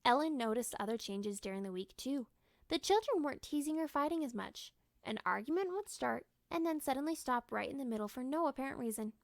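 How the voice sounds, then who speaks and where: A woman narrates a children's story in a fairly high voice with a slightly creaky edge. She reads expressively, like a storyteller, in a close, dry recording. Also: a little fast, no echo. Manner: animated, acts out character lines. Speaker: storybook reader.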